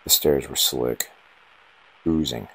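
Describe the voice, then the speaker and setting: A man reading a scripted first-person horror story in short, ominous, dramatic phrases, with a gravelly, husky voice. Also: a bass voice, takes it slowly, a bit bright, rough recording.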